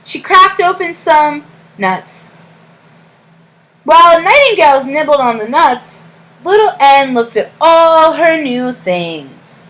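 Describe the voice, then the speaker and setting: A woman reading a storybook aloud as a teacher to young children, in a deliberate sing-song way, pausing to ask questions and give praise. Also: high-pitched, lively intonation, a bit bright, poor audio.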